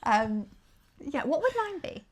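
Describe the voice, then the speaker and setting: A woman's voice: high voice, big pitch swings, a little slow. A young woman co-hosting a casual podcast, teasing her friends and laughing often. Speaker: co-host.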